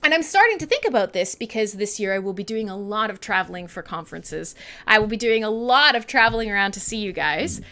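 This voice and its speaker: A woman's voice: mid-range voice, lively intonation, fast talker, bright, forceful tone. A Canadian woman hosting an online radio show, chatting casually and bluntly to listeners in an irreverent, sweary way. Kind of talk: casual live-stream chat with listeners.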